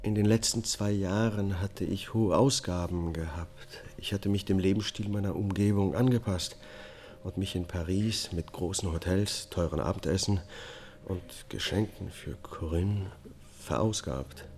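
An actor playing a troubled middle-aged man in a German radio drama, weary and evasive, speaking gravely and with restraint. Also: low-pitched, a little slow, a little mellow. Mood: bleak confession. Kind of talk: German radio drama acting, confessional monologue.

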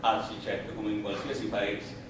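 An actor playing a proud, defiant Italian immigrant worker in a theatrical drama, speaking with an Italian accent. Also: male voice, lowish voice, completely flat delivery, normal speed, natural voice. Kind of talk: theatrical declamation and courtroom testimony. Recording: very echoey, far-off mic, noisy stage recording.

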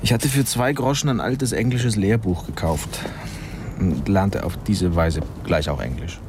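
A man's voice: low voice, measured pace, background noise. A man acting in a radio play as a pompous, eccentric linguist, boastful and mocking, with a grandiose air. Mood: playful boasting. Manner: pompous, grandiose, mock-indignant.

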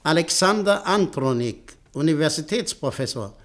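A curt, ruthless tycoon. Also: male voice, on the high side, lively intonation, moderate pace, a bit bright. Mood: commanding. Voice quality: curt, commanding. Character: cold ruthless male newspaper owner, commanding. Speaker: businessman Butschan. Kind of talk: 1950s-60s radio play acting.

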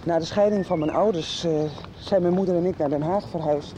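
A woman's voice: lowish voice, a little fast, slight background noise, natural voice, poor audio. An actress playing a young woman in a radio soap, telling things in a plain, matter-of-fact way. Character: young woman with a mother in Berlin. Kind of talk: 1990s radio drama acting.

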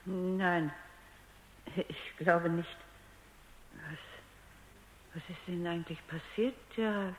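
A woman's voice: on the low side, slow, pauses often, a little hiss or hum, poor audio. An actress playing an analytical, self-assured woman scientist in a radio drama, speaking in precise phrases. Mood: shaken, reflective. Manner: precise, lecturing, composed. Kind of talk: German radio drama acting.

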